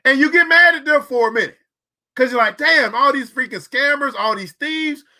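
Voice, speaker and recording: A man's voice: very high-pitched, normal speed, bright, forceful tone. A man in his forties hosting a show, giving a preachy motivational talk about money and repeating phrases for emphasis. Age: 40s. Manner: preachy, repeats phrases, talks straight to the audience. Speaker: podcast host.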